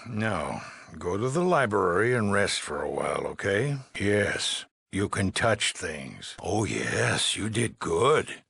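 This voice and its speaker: Weary, gravelly shelter leader. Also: male voice, on the low side, very animated, slow pace, a bit bright, dry recording, full character voice. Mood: relieved, solemn. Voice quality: raspy, gravelly. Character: weary, anxious male leader of an underground shelter. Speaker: voice actor in a video game. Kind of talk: dramatic game dialogue acting.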